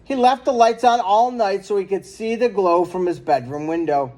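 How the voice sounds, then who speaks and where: A man's voice: high voice, normal speed, darkish tone. A man reading a children's picture book aloud as a storyteller.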